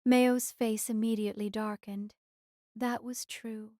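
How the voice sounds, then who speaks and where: A woman's voice: on the high side, flat delivery, unhurried, mellow, no echo, clean studio sound. A woman reading scripted fiction narration as the narrator of a novel.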